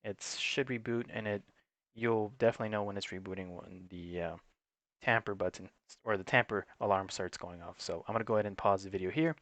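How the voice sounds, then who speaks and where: A man with a fairly low voice narrates a hands-on technical tutorial. He talks in a plain, instructional way, working through each step unscripted. The sound is dry, with very little room around it. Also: a little fast, a bit bright, no echo. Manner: matter-of-fact, instructional. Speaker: technical support presenter.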